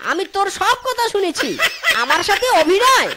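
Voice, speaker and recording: A woman's voice: very high voice, big pitch swings, moderate pace. A woman voicing a hapless, aggrieved young male fox husband in a comic cartoon, with exaggerated complaining delivery. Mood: vengeful. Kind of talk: Bangla comic cartoon voice acting. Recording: strong noise behind the voice.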